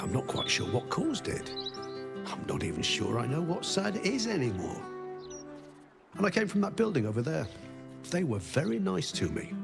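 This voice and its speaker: A man's voice: fairly high pitch, gravelly. An actor playing a confused, cheerful well-to-do man with lost memories in a video game, rambling absent-mindedly. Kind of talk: video game character dialogue. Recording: music behind the voice.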